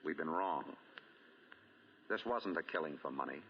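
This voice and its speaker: An actor playing a shrewd, composed amateur detective in 1940s radio drama style, with probing, deliberate questioning. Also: male voice, extremely low pitch, animated, big-room sound, slight background noise. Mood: grave, accusing. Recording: dull, lo-fi 1940 radio broadcast.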